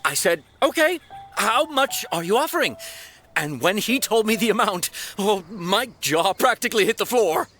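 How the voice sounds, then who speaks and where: Nervous shifty young man, stammering, animated swooping pitch, high voice, video game dialogue. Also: moderate pace, soft background music. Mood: nervous, explaining. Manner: stammering, flustered, pleading.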